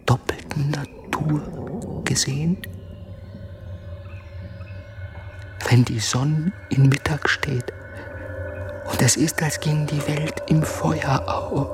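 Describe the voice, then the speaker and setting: Haunted soldier in cavernous reverb. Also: male voice, on the high side, steady tone, over music. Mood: feverish awe. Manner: halting, feverish, brooding. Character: troubled, visionary, humble male soldier, haunted and submissive. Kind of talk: German-language radio play acting. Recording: long hall reverb, faint noise.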